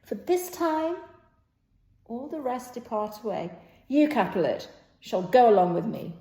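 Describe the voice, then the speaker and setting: A woman playing a stern, commanding prince in an amateur stage play reading, declaiming in a halting way. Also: on the high side, sing-song, warm tone. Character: stern, commanding prince rebuking brawling citizens. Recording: echoey room.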